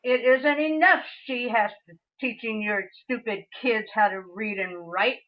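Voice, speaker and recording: A woman playing an angry, bullying husband in a radio play, loud and threatening. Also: on the high side, measured pace, natural voice. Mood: menacing, angry. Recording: dull distant video call audio.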